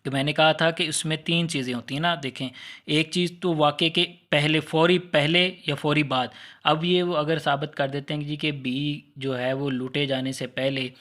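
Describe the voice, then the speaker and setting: Pakistani guy teaching a law class, voice a bit on the high side, conversational, keeps rewording stuff. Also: fairly even intonation, moderate pace, a bit bright. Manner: conversational, explains by rephrasing and posing questions. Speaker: law lecturer. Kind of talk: explanatory lecture with examples.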